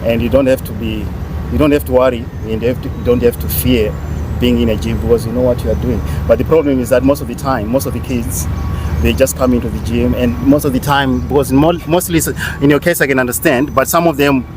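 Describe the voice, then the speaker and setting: A man's voice: medium pitch, fairly even intonation, quick pace, background noise. A man co-hosting a podcast, chatting casually and giving advice at length, often repeating his points.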